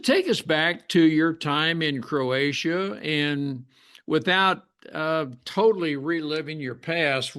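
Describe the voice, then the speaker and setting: A man hosting a podcast interview, asking long, careful questions with frequent pauses and fillers. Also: fairly high pitch, fairly bright tone, smooth. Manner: slow, deliberate questions with many fillers. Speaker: podcast host.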